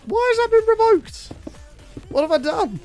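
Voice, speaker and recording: A man streaming a game, chatting casually and wryly with his viewers while his voice is hoarse and sore. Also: extremely high pitch, sing-song, moderate pace, a little mellow, soft background music. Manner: bantering, wry, teasing chat members.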